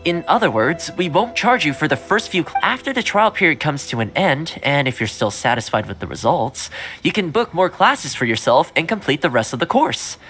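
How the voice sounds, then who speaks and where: A courteous young housekeeper speaks as a video game character. His delivery is earnest and explanatory, with animated swings in intonation. Prominent music plays behind him. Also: fairly high pitch, fairly quick. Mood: friendly, reassuring. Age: young adult. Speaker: housekeeper and class teacher. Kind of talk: English video game voice acting. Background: prominent background music.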